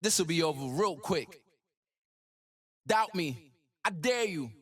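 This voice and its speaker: A young, ambitious hip-hop hero from a video game. His voice is high and swings around in pitch, with slow, rhythmic, slangy swagger and total self-belief. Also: animated, breathy edge, full character voice. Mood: taunting. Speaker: video game voice actor and rapper. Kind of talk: game voice lines.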